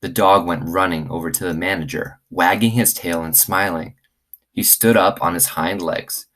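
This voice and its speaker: A man reading aloud to children in an expressive storytelling style. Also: low voice. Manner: expressive, acts out dialogue. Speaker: teacher reading aloud to students.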